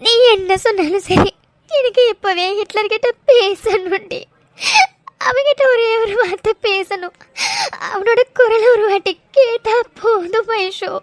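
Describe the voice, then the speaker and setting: A woman's voice: very high-pitched, unhurried, no room sound. A woman playing a distraught, lovesick young woman, crying and pleading in a melodramatic audio serial. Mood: tearful, fearful. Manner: tearful, pleading. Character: distraught young woman pining for her missing sweetheart.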